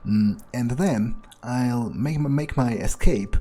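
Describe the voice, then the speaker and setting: A man's voice: mid-range voice, takes it slowly, warm tone. A man playing a cold, thrill-seeking vampire vigilante, musing aloud in a sardonic way as he narrates a game. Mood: casual relish. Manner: musing, hesitant, sardonic, swearing. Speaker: gamer role-playing a character in a playthrough.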